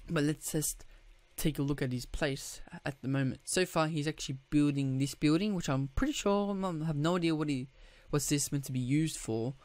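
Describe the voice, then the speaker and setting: A man with a croaky, just-woken-up voice, giving casual gameplay commentary, rambling with restarts and throat clearing. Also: a little high, a little mellow, no room sound. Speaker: gaming YouTuber.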